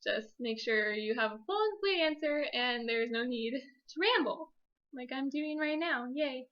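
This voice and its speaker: Young female vlogger: very bright, higher-pitched voice, chatty and self-deprecating, slightly dull lo-fi sound. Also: animated, normal speed. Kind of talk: casual personal vlog storytelling.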